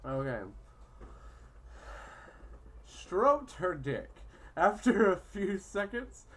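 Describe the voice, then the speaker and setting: A woman's voice: medium pitch, very animated, very slow, slightly rough. A woman casually reading a visual novel aloud as she plays, theatrical and giggly, with crude improvised jokes. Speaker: host of a visual novel playthrough. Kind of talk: casual playthrough narration, improvised crude joking.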